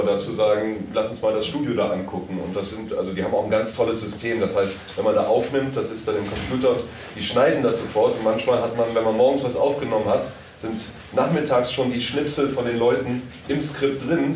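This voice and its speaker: A man's voice: medium pitch, a little mellow. A man speaking as a producer on a panel, explaining things in an informal, conversational way, recorded at a distance in an echoey room. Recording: echoey room, distant mic, faint noise.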